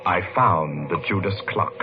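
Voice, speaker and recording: A haunted, middle-aged clockmaker in a vintage radio mystery. His voice is very low and brooding, with a careful, hesitant manner. The recording is dull, with prominent music. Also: a little slow. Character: haunted, vengeful middle-aged London-born clockmaker, narrating his story. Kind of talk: 1940s radio mystery narration and acting.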